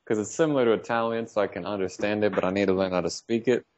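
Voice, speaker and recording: A man's voice: lowish voice, steady tone, rapid-fire, darkish tone, muffled recording. A man co-hosting a podcast, talking in slangy casual chat. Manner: slangy, laughs easily.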